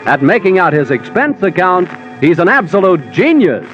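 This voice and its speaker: A man's voice: high-pitched, very animated, normal speed. A man playing a theatrical 1950s radio announcer, grand and emphatic, introducing a detective drama. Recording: dull old radio, music behind.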